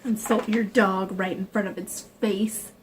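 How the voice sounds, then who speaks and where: A woman's voice: medium pitch, fairly even intonation, a little slow, background noise. A woman making silly, teasing, casual interjections during a story reading. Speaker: co-reader making side comments.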